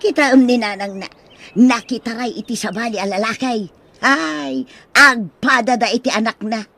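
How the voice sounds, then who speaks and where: An actress playing a nosy, exclamatory village gossip in an Ilocano radio drama. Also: female voice, on the high side, normal speed, vocal fry, fairly bright tone. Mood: gossipy.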